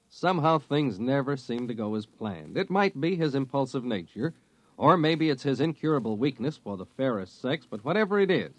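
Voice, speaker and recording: A man's voice: mid-range voice, no room sound, poor audio. An American man reading a polished, upbeat network promo in 1950s radio announcer style. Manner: polished, upbeat sales delivery.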